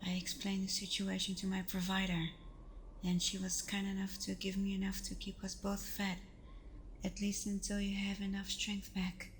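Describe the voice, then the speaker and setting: A woman acts as a caring vampire girlfriend in an ASMR roleplay. Her voice is soft and breathy, often nearly whispered, and stays very level in pitch. She is patient and reassuring, with some noise and ambience behind her. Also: monotone, lightly acted, rough recording. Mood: tender, reassuring. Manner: soothing, reassuring, unhurried.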